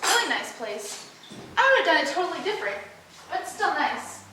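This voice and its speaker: A woman's voice: sits high, sing-song, moderate pace. An actress in an amateur play rehearsal, playing a chatty, ditzy, eagerly admiring woman. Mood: chirpy, chatty. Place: echoey indoor rehearsal space. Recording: noisy echoey room, distant microphone.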